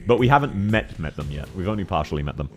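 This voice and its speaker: Deep-voiced, lively young male streamer. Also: faint music behind. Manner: excitable, laughs often, slangy and quick to correct himself. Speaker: game streamer. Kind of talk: casual live-stream chat, answering viewer questions.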